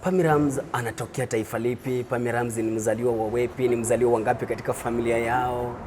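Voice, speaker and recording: A man's voice: medium pitch, fairly even intonation, fast talker, noisy background. A man with a Kenyan accent, hosting a conversational interview. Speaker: interview host.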